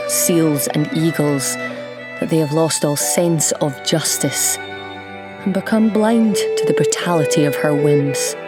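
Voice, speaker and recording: A woman playing a bitter, defiant immortal goddess held captive, in measured, brooding audio drama acting. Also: low-pitched.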